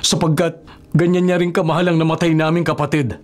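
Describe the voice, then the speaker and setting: A humble Filipino eldest brother played by a male radio actor, his voice a little high for a man. He is earnest, dutiful and respectful, speaking over a clear musical score. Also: level tone, a little fast, faint music behind, close to an everyday voice. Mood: pleading. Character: responsible eldest brother, humble earnest pleading adult son. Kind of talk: Filipino radio drama acting.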